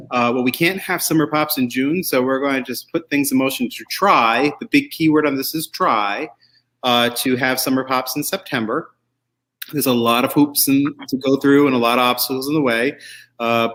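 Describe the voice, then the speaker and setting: A man's voice: medium pitch, fairly bright tone. A man hosting a show in a casual, chatty way, rambling and joking as he goes. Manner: rambling, jokey. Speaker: show host.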